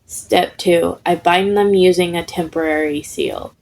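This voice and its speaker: A woman reading the part of an exasperated, dry male teacher in a story, muttering and deadpan. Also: lowish voice, measured pace, low-quality recording. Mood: weary, deadpan.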